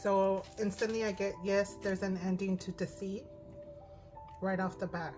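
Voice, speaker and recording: A hoarse woman reading tarot cards aloud, thinking out loud and often asking "right?". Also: mid-range voice, flat delivery, normal speed. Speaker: tarot reader. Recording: music in the background.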